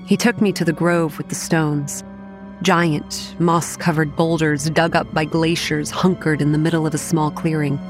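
Young female narrator, played by an actress for audio horror: low register, grim, measured, over faint music. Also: level tone, close to an everyday voice. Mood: grave, measured. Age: young adult. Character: young woman campground manager, grimly recounting childhood horrors. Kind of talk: scripted first-person horror narration. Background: soft music.

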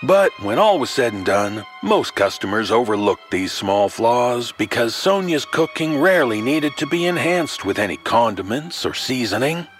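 A man tells a scripted horror tale with theatrical flair over a soft musical score. His mid-pitched voice swoops expressively as he addresses the listener in a wry, folksy manner. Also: big pitch swings, moderate pace, hardly any pauses, faint music behind. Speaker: horror anthology storyteller.

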